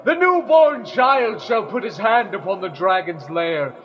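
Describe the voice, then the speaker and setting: Guy acting fervent prophet in an audio play, super high voice, solemn proclaiming, muffled sound. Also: animated, a little slow. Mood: solemn fervor. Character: fervent male prophet proclaiming a coming saviour. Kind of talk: declamatory audio drama acting.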